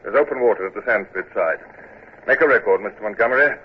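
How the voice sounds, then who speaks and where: An actor playing a stern, commanding naval captain who also narrates, in a 1940s radio drama. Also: male voice, on the low side, quick pace, natural voice. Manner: curt, authoritative orders. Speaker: narrator and lead. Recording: tinny old radio broadcast with hiss.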